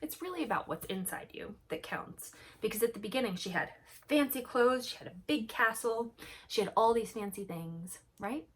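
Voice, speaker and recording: Female storyteller for young kids: husky, medium pitch, highly animated, emphatic, talks directly to the child. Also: moderate pace. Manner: expressive, emphatic, addresses children with questions.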